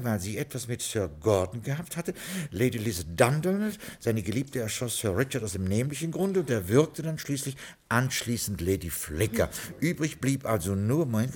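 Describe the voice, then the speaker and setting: Husky, lively butler. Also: male voice, mid-range voice. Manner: formal, fussy, dryly courteous, meandering. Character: deferential, wry butler of a Scottish castle, spinning family lore. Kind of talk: 1960s German radio play acting, part scripted narration. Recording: studio radio drama from 1963.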